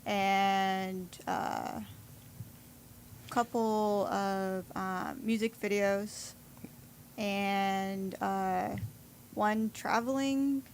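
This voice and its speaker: A woman being interviewed as a film maker, chatting casually and pausing to think as she goes. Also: mid-range voice, fairly even intonation. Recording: clear room noise behind.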